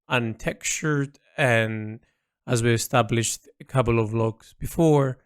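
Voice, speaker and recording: A man giving informal audio log commentary on his own artwork, speaking in a halting, reflective way with pauses mid-phrase. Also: medium pitch. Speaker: artist narrating own project log.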